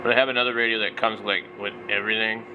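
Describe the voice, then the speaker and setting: A man's voice: fairly low pitch, flat delivery, racing, phone-quality sound, noisy background. A man in his forties giving casual, off-the-cuff product commentary, rambling and thinking aloud. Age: 40s. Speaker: vlogger, radio hobbyist.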